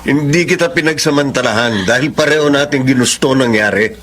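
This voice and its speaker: An actor playing a brazen, evasive older brother in a radio melodrama, exclaiming and arguing. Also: male voice, mid-range voice, brisk, a bit bright, slight background noise, lightly acted. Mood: defiant.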